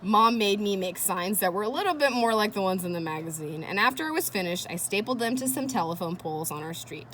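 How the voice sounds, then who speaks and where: A woman's voice: mid-range voice, lively intonation, fast talker, few pauses, fairly bright tone, slight background noise. A woman reading a children's chapter book aloud, speaking directly to young listeners in a chatty way. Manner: engaging young listeners with questions and commentary. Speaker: read-aloud host.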